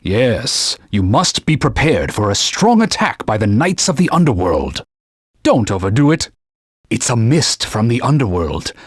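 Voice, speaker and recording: A man's voice: medium pitch, big pitch swings, a little slow, no echo. A man voicing a talking holy sword, a stern old knightly mentor who speaks formally and barks urgent warnings in a game. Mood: grave. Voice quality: husky, formal, commanding. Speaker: video game character. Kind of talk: game voice clips. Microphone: studio mic. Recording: dry studio recording.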